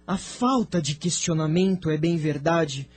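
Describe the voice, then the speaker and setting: A man with a Brazilian accent playing a venerable, thoughtful spirit sage in an audio drama, speaking in a measured, formal, declamatory way. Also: a little high, soft background music. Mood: solemn, reflective. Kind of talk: scripted audio drama dialogue.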